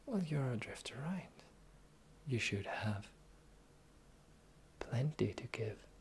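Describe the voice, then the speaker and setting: A performer voicing a sly, teasing pirate captain in a slow, breathy, whispered fantasy ASMR roleplay. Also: mid-range voice, animated, slight background noise. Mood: sly, bargaining. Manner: teasing, slow, drawling, theatrical.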